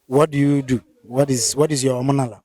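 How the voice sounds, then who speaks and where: A man's voice: medium pitch, steady tone, fairly quick, a little mellow. A Nigerian man interviewing a local, asking probing questions and repeating answers back, switching into Pidgin and Igbo. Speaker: interviewer and channel host. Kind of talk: field interview, casual questions.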